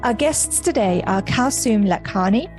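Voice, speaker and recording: A woman's voice: medium pitch, lively intonation, measured pace, a little mellow, faint music behind. A woman hosting an interview podcast, reading a scripted intro and then asking friendly questions. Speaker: podcast host.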